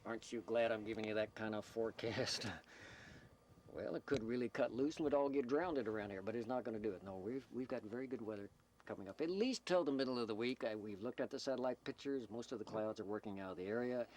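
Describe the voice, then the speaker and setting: A man's voice: mid-range voice, quick pace, a little mellow, a little hiss or hum. An American man giving folksy, rambling live announcements as an amateur CB radio announcer, with a jokey, self-deprecating manner full of asides. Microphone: speaking into a CB radio microphone.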